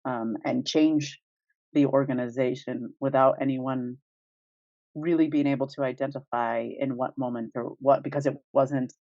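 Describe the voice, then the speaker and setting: A woman's voice: a bass voice, fairly even intonation, moderate pace, warm tone, no echo. Woman co-host, dull dry recording. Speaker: podcast co-host asking questions. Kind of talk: casual conversation. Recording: dull, dry, band-limited audio.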